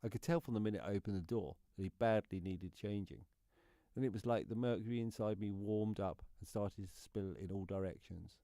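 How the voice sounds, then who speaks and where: A man's voice: lowish voice, fairly quick, dark tone, no echo, clean studio sound, lightly acted. A man playing an anxious, guilt-ridden neighbour confessing in a radio play monologue. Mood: anxious, shaken.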